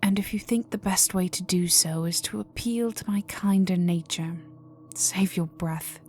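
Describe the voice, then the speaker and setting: A woman acts out an icy vampire noblewoman bent on revenge. Her voice sits fairly low and moves very slowly, imperious and threatening, often slipping into a whisper. It is a dark, intimate ASMR roleplay with music underneath. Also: close to an everyday voice. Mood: cold, threatening. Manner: slow, menacing, imperious, formal, often dropping to a whisper. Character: cold vengeful aristocratic female vampire, young heiress in mourning.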